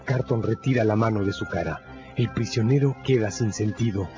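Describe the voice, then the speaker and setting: Male narrator for a radio novel: lowish, grave, measured, muffled sound with music clearly behind. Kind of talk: scripted dramatic radio narration. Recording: dull radio recording with loud music. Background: loud music.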